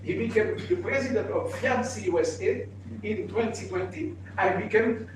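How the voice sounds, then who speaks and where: Older man, echoey and distant. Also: high voice. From Haiti. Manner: halting, repeats and restarts phrases. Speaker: real estate association president. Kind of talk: personal introduction speech. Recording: echoey room, mic far off, background noise.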